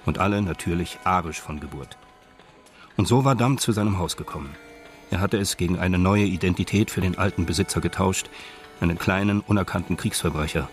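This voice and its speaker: An actor as the detached narrator of a radio crime drama, telling it in a measured, matter-of-fact way. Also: male voice, low voice, faint music behind, natural voice. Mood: detached narration. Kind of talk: scripted crime story narration.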